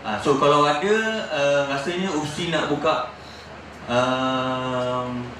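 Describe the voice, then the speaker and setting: A man with a Malaysian accent asking a question from the floor, halting and searching for words. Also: fairly high pitch, steady tone, sharp tone. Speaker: audience member asking a question. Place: large indoor conference venue. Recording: very echoey hall, distant mic, clear noise.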